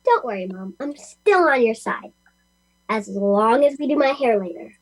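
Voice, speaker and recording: A woman's voice: fairly high pitch, animated, moderate pace. A woman voicing a quirky, cheeky young daughter in an amateur audio play, whimsical and matter-of-fact. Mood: sweetly cheeky.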